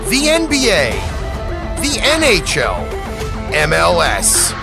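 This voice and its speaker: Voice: medium pitch, huge pitch swings, takes it slowly, creaky. An announcer giving a scripted promotional read for an advertisement over a music bed. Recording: loud music bed underneath.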